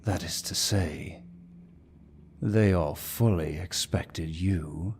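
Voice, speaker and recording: A man playing a menacing demon lord in an ASMR fantasy roleplay, with a deep, gravelly voice and a dominant, smug, taunting manner. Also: dark tone, a little hiss or hum, exaggerated voice. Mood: smug, gloating. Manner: dominant, smug, slow and deliberate.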